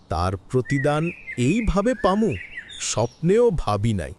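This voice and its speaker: A man performs a heartbroken old father for a melodramatic cartoon, lamenting very slowly in a rural Bangladeshi Bengali accent. The voice rises and falls dramatically over clearly audible noise and birdsong. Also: medium pitch. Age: older. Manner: lamenting, drawn-out delivery.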